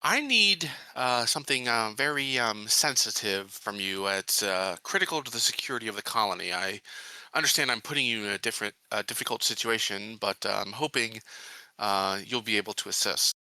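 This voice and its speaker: A man voicing an earnest, secretive colony marshal in a halting, deliberate and persuasive manner, in a tabletop game. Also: lowish voice, expressive, sharp tone, no room sound, heavily acted, rough recording. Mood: careful.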